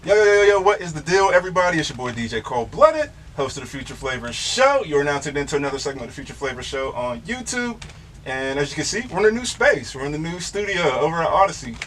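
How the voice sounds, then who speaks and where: A man's voice: on the high side, sing-song, quick pace, fairly bright tone, faint music behind. A man hosting a casual interview show, easygoing and slangy, speaking into a studio mic in a small studio. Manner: laid-back, slangy, easygoing. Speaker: show host and DJ.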